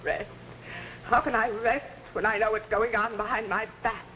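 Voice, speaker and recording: An actress playing a bitter, jealous wife in a 1950s television melodrama. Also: female voice, fairly high pitch, steady tone, normal speed, sharp tone. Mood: bitter, accusing. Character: women at a tense party in melodrama. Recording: noisy vintage TV soundtrack.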